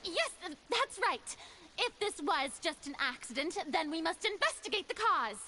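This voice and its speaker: A woman's voice: very high voice, very animated, moderate pace, background noise. A woman playing a flustered, grandiose young noblewoman in a video game, stammering as she speaks.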